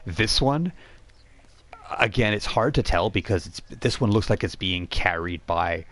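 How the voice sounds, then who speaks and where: A man narrating a tabletop role-playing game as game master, conversational and halting, often repeating phrases as he improvises. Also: fairly low pitch, lively intonation, fairly bright tone. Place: live online game stream.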